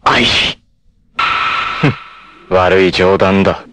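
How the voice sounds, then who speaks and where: An actor playing a fierce, proud martial artist in an anime, with an intense, theatrical delivery. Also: male voice, deep voice, flat delivery, noisy background. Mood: brooding, cool. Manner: intense, theatrical, from cool measured speech to anguished shouts. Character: fierce, proud martial artist hunting his sister's abductor. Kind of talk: dramatic anime acting.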